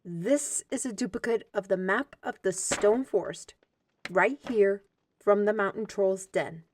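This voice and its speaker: A woman's voice: medium pitch, measured pace, a little hiss or hum, close to an everyday voice. Casting: actress for firm, spirited female artist in fantasy audio drama, middle register, explains with emphasis. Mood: defensive, insistent.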